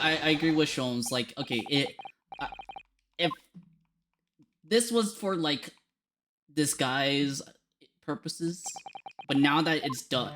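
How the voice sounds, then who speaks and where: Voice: medium pitch, fairly bright tone. A streamer casually commenting on a game playthrough, rambling, swearing and laughing.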